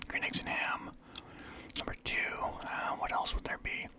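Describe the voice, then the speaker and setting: A young man from Canada whispering through a casual, rambling list of favorites as a vlogger. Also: unhurried. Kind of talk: whispered ASMR list chat.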